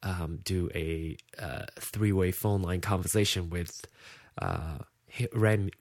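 Sleepy, low-voiced Malaysian man podcasting. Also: takes it slowly, no echo, studio quality. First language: Hokkien. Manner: hesitant, rambling. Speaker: podcast host. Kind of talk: casual unscripted monologue.

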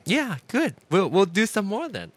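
A man hosts a casual podcast in a chatty, conversational way. His pitch moves around a lot as he talks, and he often doubles back to repeat a phrase. The sound is dry, with little room echo. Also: big pitch swings, a little slow. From Asia. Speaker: podcast host.

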